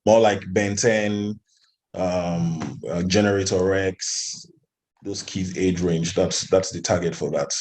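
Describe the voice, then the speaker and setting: A man's voice: low voice, fairly even intonation, slow pace, a little mellow. A man from Nigeria giving conversational interview answers as an animation studio founder, in hesitant phrasing with repeated restarts.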